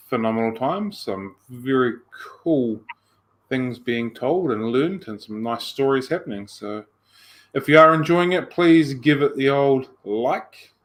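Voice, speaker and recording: Male host, mid pitch, upbeat casual chat, draws out his words. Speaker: podcast host.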